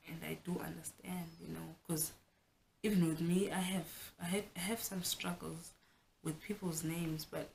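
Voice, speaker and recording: A woman's voice: lowish voice, vocal fry, slight background noise. A young South African woman whose first language is Xhosa, talking frankly and chattily to the camera in a casual home vlog, often restarting her sentences. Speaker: vlogger.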